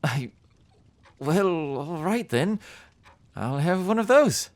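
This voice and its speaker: A man's voice: fairly high pitch, sing-song, slow pace, exaggerated voice. A man playing a weary, amiable, easily flustered travelling bookseller in a fantasy audio drama, speaking hesitantly. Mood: pleasantly surprised. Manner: hesitant, stammering. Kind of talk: fantasy podcast acting.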